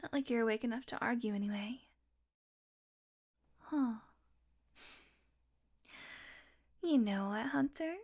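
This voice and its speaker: A woman playing a sardonic, teasing, predatory vampire with a soft spot, in an intimate, sweary audio roleplay monologue that often drops to a murmur. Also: a little high, normal speed. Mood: teasing, tempted.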